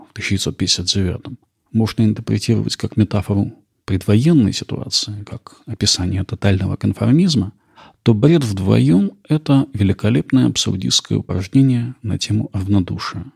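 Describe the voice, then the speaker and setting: A man's voice: fairly low pitch, vocal fry, darkish tone, slightly rough. A man narrating a scripted podcast as its host.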